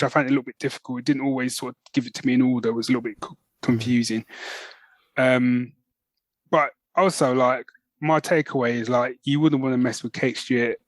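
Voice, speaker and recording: A man's voice: medium pitch, no room sound. A man co-hosting a podcast, talking casually and enthusiastically as a fan, in a chatty, informal way that often checks in with the listener. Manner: chatty, informal, often checks in with "right?" and "you know what I mean". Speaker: podcast co-host.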